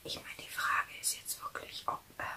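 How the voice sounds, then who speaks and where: A woman in her thirties playing a caring survivor who tends a wounded companion in a hushed, soothing, urgent ASMR roleplay. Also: moderate pace. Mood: concerned, gentle.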